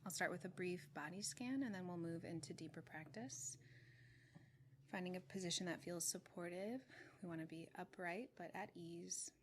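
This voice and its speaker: A woman meditation teacher leading a guided meditation in a gentle, measured way, with long pauses between short phrases. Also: lowish voice. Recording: clearly noisy with room ambience.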